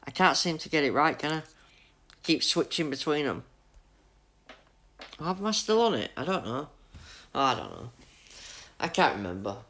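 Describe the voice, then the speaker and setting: A man's voice: on the high side, sing-song, normal speed, long pauses, a bit bright. Chatty Yorkshire man live-streaming a game. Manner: chatty, jokey, greets chat by name, laughs often. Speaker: gaming livestreamer. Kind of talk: casual live-stream chat with viewers while playing.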